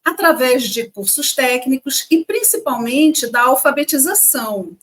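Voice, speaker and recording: A woman's voice: high voice, expressive, measured pace, fairly bright tone, husky. A woman co-hosting a casual chat, reading parts of a script and talking chattily to viewers. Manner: chatty, addresses audience as "gente". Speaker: co-host.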